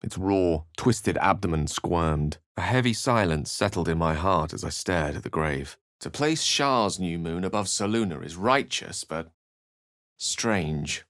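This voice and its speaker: A man's voice: low voice, lively intonation, a little slow, fairly bright tone, no room sound, clean studio sound. A man acting an eloquent, cultured wizard in a video game, earnest and wry, with articulate, ornate phrasing. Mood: storytelling, measured.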